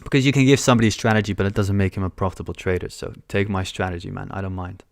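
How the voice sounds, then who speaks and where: A man's voice: sits low, steady tone, rapid-fire, gravelly, no echo, studio quality. A young man hosting a live trading stream indoors on a headset mic, reading out chat questions and answering them in a casual, offhand way. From born in London. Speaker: trading livestream host. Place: small room indoors.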